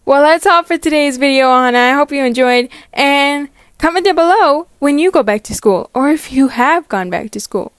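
A woman's voice: high voice, animated, moderate pace, hardly any pauses, dry recording. A young woman chatting casually in a voiced roleplay vlog, bubbly and slangy with dramatic exclamations. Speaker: story channel creator voicing a character.

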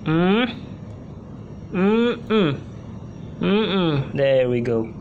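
Slow-paced man muttering over outdoor noise. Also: sits high, big pitch swings, narrow, tinny audio. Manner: muttering to himself in short bursts with exclamations. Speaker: vlogger. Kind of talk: casual self-narration during a task. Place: outdoors on a tiled home balcony. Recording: clear ambient outdoor noise, passing vehicles.

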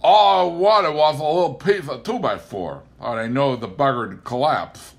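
Old rustic farmer, put on by a male narrator, raised pitch, defensive and muttering, comic novel. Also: moderate pace, lightly acted. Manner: rustic, grumbling self-excuse.

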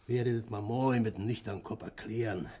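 An actor playing a hot-headed, boastful working man with a Berlin accent in a radio play, excitable and colloquial. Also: fairly low pitch, quick pace, slightly rough. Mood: tipsy, scheming. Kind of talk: 1960s radio play acting.

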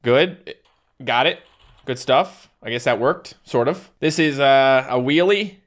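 A young man from Newfoundland hosting a casual let's-play, excitable, yelping and joking at the game. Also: mid-range voice, measured pace. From Newfoundland, Canada. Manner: excitable, yelps and jokes at the game. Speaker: gaming channel host. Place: home recording room.

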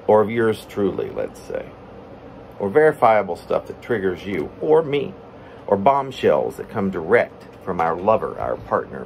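Middle-aged man reading poetry, expressive pitch swings. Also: creaky. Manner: halting, self-interrupting delivery. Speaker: poet reading own work. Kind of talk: informal poetry reading with asides. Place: plain room at home, indoors. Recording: steady room hum, air conditioning.

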